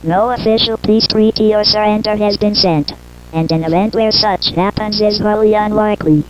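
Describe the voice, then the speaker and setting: A woman's voice: mid-range voice, fairly even intonation, normal speed. Muffled synthetic female newsreader. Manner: formal. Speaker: breaking news announcer. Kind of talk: scripted news bulletin. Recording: muffled lo-fi broadcast with hum and noise.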